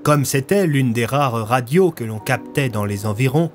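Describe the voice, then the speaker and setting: A man's voice: medium pitch, animated, normal speed, soft background music. A man reading scripted story narration as a storyteller.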